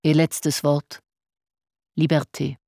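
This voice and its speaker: An actress playing a sober female British intelligence officer who recounts events in a measured, factual radio drama narration. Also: deep voice, level tone, vocal fry, mellow, no echo, clean studio sound, full character voice. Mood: sober, grave.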